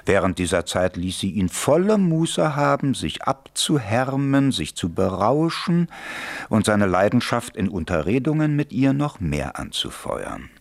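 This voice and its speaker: An actor narrating a radio play as a wry, urbane storyteller with ironic, literary phrasing. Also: male voice, mid-range voice, very animated, unhurried, gravelly. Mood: wry, measured. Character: wry, urbane storyteller of an old French tale.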